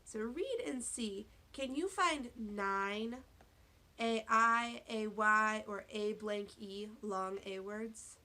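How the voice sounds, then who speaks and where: A woman teacher giving a read-aloud phonics lesson to young children, speaking deliberately, spelling out words and asking questions. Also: medium pitch, sharp tone. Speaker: elementary school teacher.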